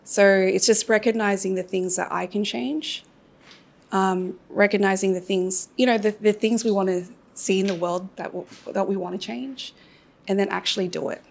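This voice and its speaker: A woman shares her view in a group discussion. Her voice has a gravelly edge and sits at an ordinary pitch. She speaks in a thoughtful, reflective way. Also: fairly even intonation, moderate pace. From Australia. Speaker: workshop participant. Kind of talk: sharing an answer in a group Q&A.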